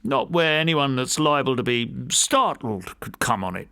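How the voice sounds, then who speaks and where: A man's voice: medium pitch, expressive, normal speed, sharp tone, clean tone. A man voicing a deferential, uneasy college manservant in an audiobook, rambling on in homely, uneducated speech. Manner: deferential, rambling, folksy grammar. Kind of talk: audiobook character voice.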